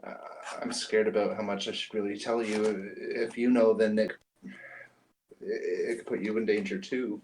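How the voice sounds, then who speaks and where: A man acts out a frightened woman on the run in a tabletop role-playing game. The voice is fairly low, the words hesitant and guarded, weighed down with guilt. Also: fairly even intonation, moderate pace, a little mellow, lightly acted, poor audio. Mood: scared, guarded.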